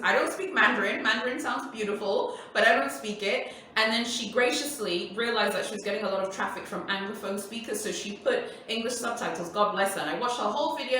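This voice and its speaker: A woman's voice: mid-range voice, bright, forceful tone, slight background noise. A woman whose first language is Swahili, hosting a casual Q&A for her viewers in a chatty, emphatic way and repeating her points. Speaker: luxury YouTuber host. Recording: echoey room, voice far from mic.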